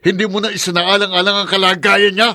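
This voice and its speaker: An actor playing a conflicted, unfaithful husband in a radio drama, confused at times and accusing at others. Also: male voice, high-pitched, little pitch movement, fast talker, pushed and bright, heavily acted. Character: torn, cheating husband, adult man.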